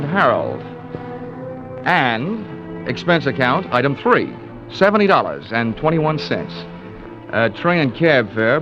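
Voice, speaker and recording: A man's voice: mid-range voice, natural voice. An actor playing a wry, wisecracking American insurance investigator who narrates his case in an old radio drama. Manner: wry, dry-humoured. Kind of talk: 1950s radio detective narration. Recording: lo-fi old radio broadcast, music behind.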